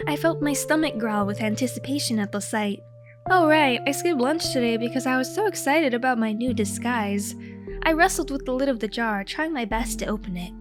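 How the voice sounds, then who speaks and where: A woman's voice: a little high, animated, brisk, over music. A woman narrating a comedic diary story in a theatrical, mock-heroic, scripted style.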